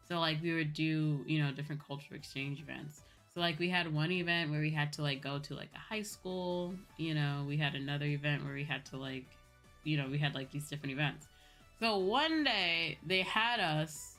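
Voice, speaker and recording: A young adult woman streamer telling personal stories in a casual, animated, giggly chat style. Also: low voice. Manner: animated retelling with acted-out quotes and frequent giggles. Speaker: streamer, VTuber host. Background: background music.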